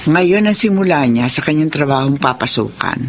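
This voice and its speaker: A woman's voice: deep voice, normal speed, a little hiss or hum. A woman playing a fussy, loyal older mother and grandmother in a Filipino radio drama. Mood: matter-of-fact. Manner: animated, exclamatory.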